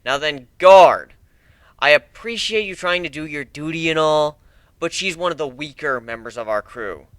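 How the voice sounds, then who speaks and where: A man's voice: fairly high pitch, lively intonation, moderate pace, a bit bright, no room sound. A man voicing a cocky, jaded male griffin pirate captain with a lazy, sardonic air of command, in a fantasy story reading.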